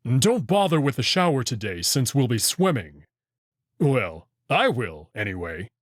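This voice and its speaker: A friendly young wolf man from a visual novel, acted out by a male reader. He speaks politely and a little formally, eager to please, with lively swings in pitch in a dry, close space. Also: measured pace, no echo. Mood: casual. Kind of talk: visual novel character reading.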